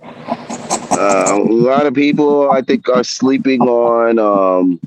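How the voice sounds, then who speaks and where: A man's voice: medium pitch, slow pace, slight background noise, poor audio. Laid-back man in his forties, stammering. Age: 40s. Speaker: interview guest. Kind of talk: casual interview chat.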